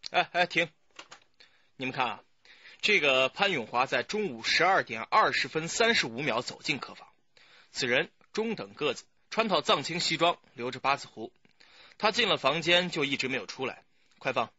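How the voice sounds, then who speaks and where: A man's voice: a little high, animated, normal speed, sharp tone, husky, no room sound, muffled recording, heavily acted. An actor playing a keen, emphatic, self-assured young male police detective in a radio crime drama. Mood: eager, certain.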